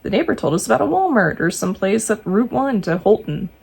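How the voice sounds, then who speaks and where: Gravelly, husky woman reading ghost stories. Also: mid-range voice, fairly quick. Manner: animated, jokey asides between read passages. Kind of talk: reading stories aloud with asides.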